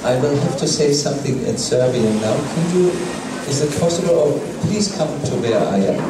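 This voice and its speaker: A man, a spiritual teacher, lecturing in short phrases paused for an interpreter, firmly and teasingly scolding his audience. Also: a little high, lively intonation, very noisy. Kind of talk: lecture given through an interpreter. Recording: echoey room, distant mic, loud ambience.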